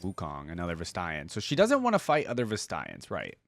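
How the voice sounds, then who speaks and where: A man with a fairly low voice hosts a casual fan reaction. His pitch moves around in a lively way as he comments, over faint music. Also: brisk, creaky, no echo. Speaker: reaction video host.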